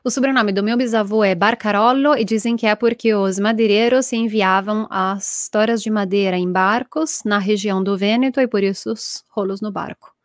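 A woman's voice: mid-range voice, normal speed, hardly any pauses, no room sound, studio quality. A woman in her thirties from Italy with an Italian accent, teaching a live online language class from a small room at home and reading out viewers' comments. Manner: lively, reads viewer comments, gestures a lot. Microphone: earphone headset mic.

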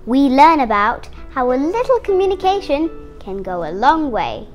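A woman's voice: high-pitched, very animated, moderate pace, muffled recording. An eight-year-old girl reading a picture book aloud with careful, dramatic phrasing, as a young storyteller. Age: child, eight years old. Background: instrumental music.

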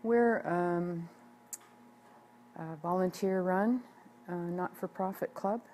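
A woman in her sixties, a ski club president, talking in a measured way with frequent fillers in a seated interview indoors. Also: lowish voice, darkish tone, a little hiss or hum. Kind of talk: on-camera interview. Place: indoor room by a wood stove.

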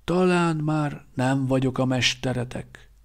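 A man voicing an aged male vampire quartermaster, a soft, fond and knowing former mentor. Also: mid-range voice, creaky, pitched above the performer's own voice, natural voice.

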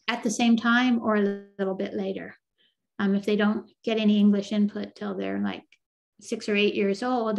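An older woman, a psychologist, answering questions on a video call in a thoughtful, explanatory way with frequent fillers and restarts. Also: medium pitch. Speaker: developmental psychologist guest.

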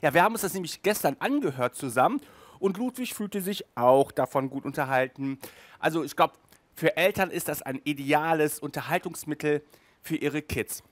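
Man, middle-aged, enthusiastic chatty show host, slightly high pitch, dry close headset sound. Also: normal speed, no echo. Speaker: host of an audio drama review show. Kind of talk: casual review to camera. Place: small furnished room indoors, on a couch.

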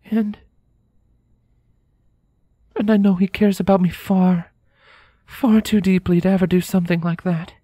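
A woman's voice: fairly low pitch, fairly even intonation, unhurried, pauses often, mellow, no room sound, clean studio sound. A woman voicing a tender, conflicted adult unicorn with an earnest, formal, hesitant manner, in a fan fiction drama. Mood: sad, tender.

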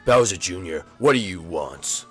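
A man putting on a hulking turtle thug with a low, grumbling voice. He drawls his lines slowly and turns threatening at a moment's notice, with faint music behind. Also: lively intonation. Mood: gruff. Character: gruff lazy male turtle brute.

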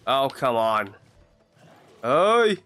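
A young man with a congested voice from a cold, casually commenting on his own gameplay and shouting out when his character gets hit. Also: medium pitch, very animated, drawn-out words, clear, resonant tone, faint music behind. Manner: chatty, reacting loudly to in-game hits. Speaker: gaming channel host.

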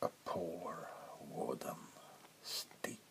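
A man playing a menacing, smug vampire king in a soft-spoken ASMR roleplay, slow and taunting with mock politeness. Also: low voice, exaggerated voice. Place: small indoor room. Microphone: close mic.

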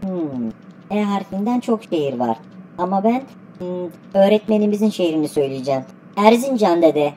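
A woman's voice: lowish voice, expressive, moderate pace, noisy background, lightly acted. A woman voicing an eager, polite schoolchild answering a grandparent's quiz in an educational cartoon. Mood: thoughtful, polite.

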